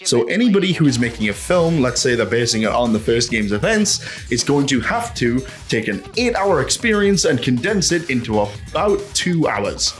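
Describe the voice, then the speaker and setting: A man giving a casual, opinionated video essay in an animated, ranting manner, full of rhetorical questions and swearing. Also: mid-range voice, normal speed, faint music behind. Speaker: host of a video essay channel.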